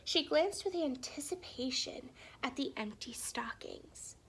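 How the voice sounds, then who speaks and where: A woman reading a children's story aloud in an expressive, animated storytelling manner with hushed, dramatic phrasing. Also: high voice, a little slow, breathy edge, whispered. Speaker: teacher or reader reading a children's picture book aloud.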